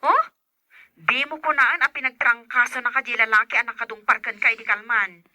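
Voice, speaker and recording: A perky young female friend in an Ilocano radio drama. She teases in a high, extremely bright and sharp voice, recorded dry and close. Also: fairly quick, no echo. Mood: perky, teasing.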